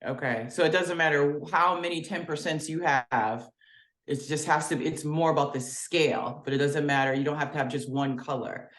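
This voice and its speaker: A woman's voice: deep voice, normal speed, a bit bright. Playful laughy woman on a video call, roomy echo around her. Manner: bubbly, playful, laughing often. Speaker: guest. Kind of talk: casual video-call interview.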